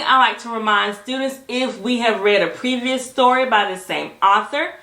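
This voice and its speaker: A woman giving instructional talk to fellow educators as a teacher and presenter, phrasing things emphatically and deliberately with rhetorical questions. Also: fairly high pitch, fairly bright tone, roomy sound.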